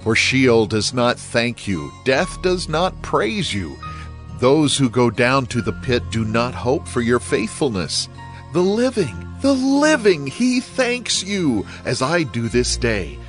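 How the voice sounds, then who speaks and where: A man's voice: medium pitch, sing-song, slow, soft background music. Casting: devout, suffering king for audio scripture drama; theatrical, earnest delivery in a middle register. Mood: fervent hope. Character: ailing, devout king pleading and lamenting.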